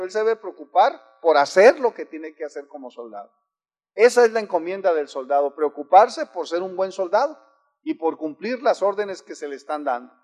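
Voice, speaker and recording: A man preaching a sermon in an earnest, exhorting manner, often restarting his phrases. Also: sits high. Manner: earnest, exhorting, with frequent restarts and varied emphasis.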